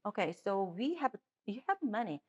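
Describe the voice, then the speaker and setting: A woman's voice: medium pitch, very animated, moderate pace, breathy edge, highs cut off. A middle-aged woman with a Korean accent, a native Korean speaker, answering interview questions as a political candidate with frequent restarts and fillers.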